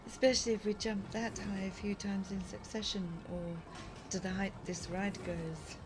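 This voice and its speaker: A woman acts out a cheerful, gentle young-spirited fantasy guide in an audio roleplay. She talks at an unhurried pace with soft, eager delight, as if to a close companion, over a light bed of music. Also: medium pitch, fairly even intonation, natural voice. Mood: delighted, wondering. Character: Summer, cheerful gentle female local guide of a fantasy world. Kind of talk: immersive audio roleplay acting.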